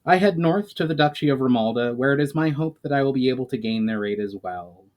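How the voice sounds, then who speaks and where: A serious elf envoy of fantasy fiction, voiced by a man. The delivery is formal and resolute, with a moderate pitch that rises and falls freely. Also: expressive, quick pace, a little mellow, natural voice. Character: grave, resolute male elf diplomat.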